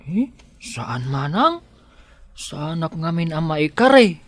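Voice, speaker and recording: A man's voice: on the high side, very animated, takes it slowly. A man with an Ilocano accent playing a shy, devoted, humble gardener in a radio drama, bashful and hesitant.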